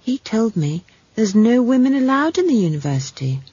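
Storybook role: young apprentice girl, played by a woman, earnest and anxious, soft, breathy, pitched up from the narrator. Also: very animated, moderate pace, no echo, lo-fi sound. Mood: earnest, downcast. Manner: earnest, hesitant, soft-spoken.

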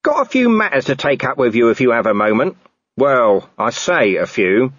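A man's voice: mid-range voice, sing-song, moderate pace, a bit bright, no room sound, lo-fi sound, pitched above the performer's own voice. A man voicing a cheeky, scruffy male corporal who talks in a cocky, offhand way. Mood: smug.

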